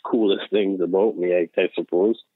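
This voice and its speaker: Deep-voiced man on a tinny line. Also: fairly even intonation, moderate pace, dry recording. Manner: earnest, self-assured, many fillers. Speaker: guest, social worker. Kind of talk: casual podcast chat. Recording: tinny phone-like line, dry.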